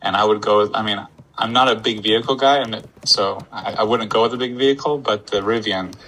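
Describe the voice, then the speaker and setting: A man's voice: on the low side, fairly quick, fairly bright tone, a little hiss or hum, poor audio. A man from Florida hosting an interview, chatty and enthusiastic in a casual conversation.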